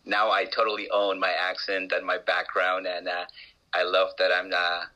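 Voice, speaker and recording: Male interview guest, Peruvian accent, deep and bright-toned, hesitant storyteller. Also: monotone, moderate pace, low-quality recording. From Peru. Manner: hesitant, many restarts and uh fillers.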